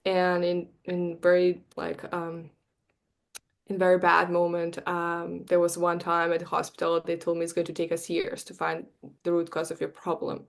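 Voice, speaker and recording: Soft-pitched woman, earnest. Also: completely flat delivery, gravelly. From Bosnia. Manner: earnest, heartfelt, slightly halting. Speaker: guest, marketing coach and energy healer. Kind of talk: podcast interview.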